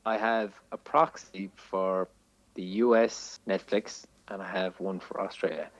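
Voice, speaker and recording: A man's voice: sits low, fairly even intonation, unhurried, dry recording, lo-fi sound. A man co-hosting a podcast, chatting casually about films. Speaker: podcast co-host. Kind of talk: casual film chat.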